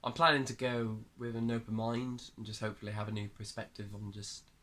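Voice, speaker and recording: A young man who is ill, chatting casually in his bedroom, hesitant and rambling and trailing off quietly. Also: lowish voice, steady tone, a little fast, a little hiss or hum. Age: young adult. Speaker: interviewee. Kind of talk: casual chat.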